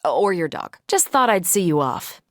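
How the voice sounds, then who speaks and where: A woman's voice: on the low side, very animated, moderate pace, a bit bright, no room sound, clean studio sound. An actress playing a confident, wry military superhero woman in a video game, casual and self-assured in her delivery. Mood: warm, playful.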